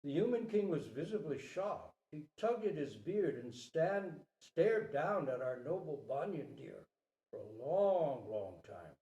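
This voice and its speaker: A man's voice: on the high side, sing-song, warm tone. A man giving a dramatic, emphatic storytelling reading of a parable, drawing out his phrases. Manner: theatrical, emphatic, with drawn-out phrases. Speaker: storyteller, Zen teacher. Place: reverberant room at a retreat talk. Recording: roomy, echoing live recording.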